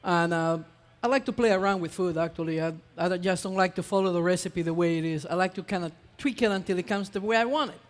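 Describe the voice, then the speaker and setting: Brief: high-pitched man, chef demoing on stage, chatty and halting, long hall reverb. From Ecuador. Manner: chatty, hesitant with many uh fillers. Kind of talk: live cooking demonstration.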